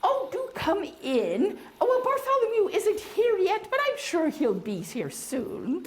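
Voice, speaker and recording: A woman plays a prim, kindly beaver lady hosting a puppet-show tea party, her voice sitting extremely high and swooping widely. She speaks slowly and sweetly, fluttering every line with gentle "oh"s. The sound is echoey, with a faint hiss underneath. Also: huge pitch swings, exaggerated voice. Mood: gracious. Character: genteel female beaver hostess, kindly and proper. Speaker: puppet show hostess and teacher. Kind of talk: children's educational puppet show. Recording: echoey room with faint noise.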